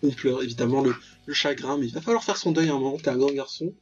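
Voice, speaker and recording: Raspy guy doing jokey ironic let's play commentary, bit dull lo-fi mic, soft music behind. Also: mid-range voice, quick pace. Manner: ironic, reacts aloud and paraphrases on-screen text. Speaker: let's player, channel host. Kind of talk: casual joking gameplay commentary of a visual novel.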